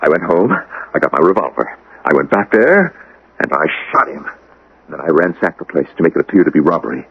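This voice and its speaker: A guilt-ridden, panicky husky man. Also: extremely low pitch, sing-song, a little fast, full character voice. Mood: grim confession. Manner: overwrought, agitated, protesting. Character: guilt-ridden male murderer, panicky, haunted, pleading. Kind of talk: old-time radio drama acting. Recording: muffled vintage radio broadcast recording.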